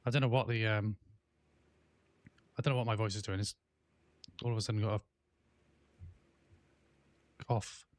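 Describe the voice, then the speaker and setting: A man in his thirties with an English accent tinged with Welsh, chatting casually on a game stream while coughing and clearing his throat now and then. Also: lowish voice, steady tone, normal speed, pauses often, dry recording. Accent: English with a Welsh twang. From England. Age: 30s. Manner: rambling, many fillers and restarts. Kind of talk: casual livestream chat, reading chat aloud.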